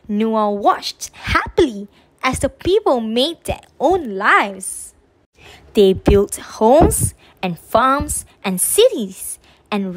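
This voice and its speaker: Woman, children's read-aloud narrator. High voice, very animated swings, drawn-out phrasing. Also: low-quality recording. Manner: expressive, drawn-out delivery for young children. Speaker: storybook reader on a children's channel.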